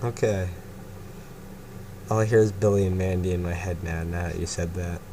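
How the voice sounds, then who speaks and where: Male story reader, casual, low-pitched, clear background hiss. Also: level tone, moderate pace. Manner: casual, breaks off to laugh and comment. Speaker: fanfiction reader. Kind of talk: first-person story reading.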